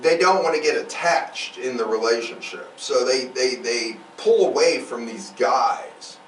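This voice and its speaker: Animated podcast host, man in echoey room. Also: medium pitch, measured pace. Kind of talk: casual podcast chat. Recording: roomy echo, distant mic, faint noise.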